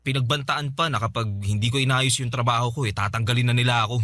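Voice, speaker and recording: A man's voice: mid-range voice, fairly even intonation, quick pace, no echo, studio quality, natural voice. A man playing a tired, apologetic young husband in a radio drama, hesitant and defensive. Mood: sheepish. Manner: weary, hesitant, placating. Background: soft background music.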